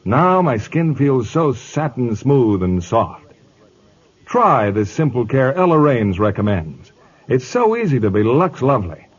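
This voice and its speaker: A man's voice: mid-range voice, very animated, a little slow, husky. An American man reading scripted 1950s radio advertising as a commercial announcer with an emphatic sales delivery. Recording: muffled vintage radio broadcast.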